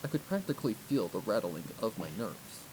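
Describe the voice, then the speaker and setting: Man narrating fiction over clear noise. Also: lowish voice, sing-song, a little fast. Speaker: audiobook narrator. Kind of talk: first-person fiction narration. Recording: clearly noisy recording.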